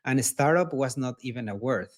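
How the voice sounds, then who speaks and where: Mexican-accented founder, earnest and repetitive. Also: male voice, mid-range voice, expressive, a little fast. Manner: earnest, repeats words, non-native phrasing. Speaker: startup founder guest. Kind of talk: casual interview answers.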